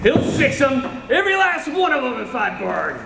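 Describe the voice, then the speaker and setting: A man's voice: very high voice, very animated, moderate pace, vocal fry, very noisy, full character voice. An actor playing a condemned male killer in a radio drama, talking tough gangster slang, tense and scheming. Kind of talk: 1930s radio drama acting. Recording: echoey old radio recording from far off.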